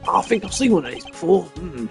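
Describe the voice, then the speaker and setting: Man voicing an eager bumbling gravelly police detective. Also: high voice, big pitch swings, brisk, music in the background, pitched above the performer's own voice, heavily acted. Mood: earnest, sheepish. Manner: folksy, enthusiastic, obliging. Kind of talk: video game character voice-over.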